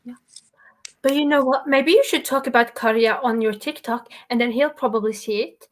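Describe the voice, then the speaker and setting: A young woman with a Norwegian accent hosting a casual livestream interview, bubbly and encouraging, quick to laugh. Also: a little high. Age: young adult. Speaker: host.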